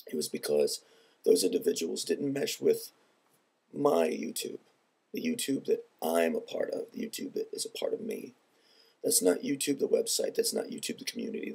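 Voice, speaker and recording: Very deep raspy guy, stuffed up with a cold, earnest vlog talk, pitch swings a lot. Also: a little mellow. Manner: earnest, measured and reflective. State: congested from a head cold. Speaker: video blogger. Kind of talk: personal vlog monologue.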